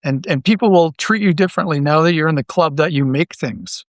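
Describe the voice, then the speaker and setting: A man's voice: a little high, a little fast, dry recording, clean studio sound. A man talking casually as a podcast guest, rambling along with enthusiasm. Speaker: podcast guest, audio drama creator. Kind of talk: casual interview chat.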